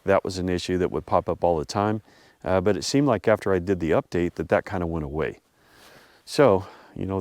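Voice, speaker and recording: A man hosting a vlog outdoors, a bit congested and hoarse from a cold, rambling casually with lots of fillers. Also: low voice, darkish tone, no room sound. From US Pacific Northwest. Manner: rambling, many fillers and restarts. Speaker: vlog host reviewing his truck. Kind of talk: casual talk to camera. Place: outdoors at a snowy off-road area.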